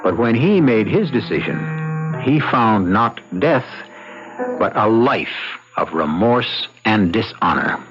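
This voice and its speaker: An actor playing a grandiose, sinister storyteller, hosting an old-time radio mystery with dramatic, measured narration. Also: male voice, fairly low pitch, expressive. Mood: grave, reflective. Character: sinister, grandiose male storyteller of horror tales. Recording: dull radio recording with loud music.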